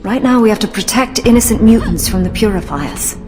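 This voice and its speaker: An actress voicing a brisk, businesslike female mutant ally in a video game. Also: mid-range voice, lively intonation, darkish tone, slight background noise, lightly acted. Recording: game audio with long reverb and faint music.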